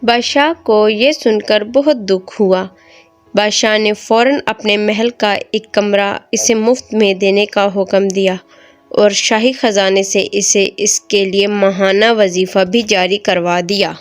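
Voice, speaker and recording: A woman's voice: medium pitch, steady tone, a little slow. A woman storyteller reading a scripted moral tale as narrator. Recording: music behind the voice.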